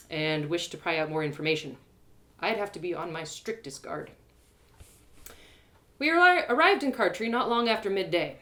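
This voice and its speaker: A woman reading her own audio drama aloud live, with an expressive delivery, often laughing at her own lines. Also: mid-range voice. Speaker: storyteller.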